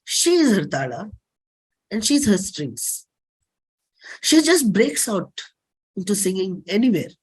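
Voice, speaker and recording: Indian woman classical singer reading her stage piece, expressive, short phrases w dramatic pauses, mid pitch. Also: husky.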